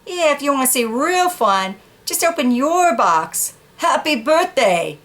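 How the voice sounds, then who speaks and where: A woman reading a children's picture book, voicing a small, feisty, defensive dog with emphatic, drawn-out retorts. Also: high voice, very animated, fairly bright tone, pitched above the performer's own voice, full character voice. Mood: playful, eager.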